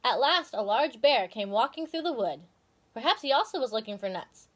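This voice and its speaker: Woman, very high voice, swooping intonation, expressive children's storyteller, dramatic reading. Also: moderate pace.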